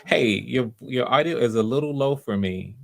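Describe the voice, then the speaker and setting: A man's voice: mid-range voice, lively intonation, normal speed, creaky. A man hosting live auditions in a casual, encouraging and reassuring way. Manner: supportive, reassuring. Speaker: host running live auditions.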